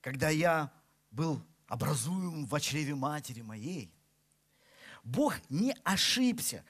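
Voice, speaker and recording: High-voiced Russian preacher, emphatic. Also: male voice. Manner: emphatic, rising and falling delivery. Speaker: pastor. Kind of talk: sermon.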